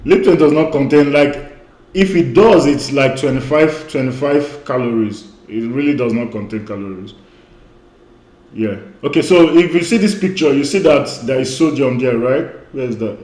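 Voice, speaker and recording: A man's voice: medium pitch, normal speed, a little mellow. Emphatic male coach in echoey room. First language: Igbo. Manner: emphatic, repeats key points, frequent "you know". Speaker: weight-loss coach. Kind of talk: online lecture.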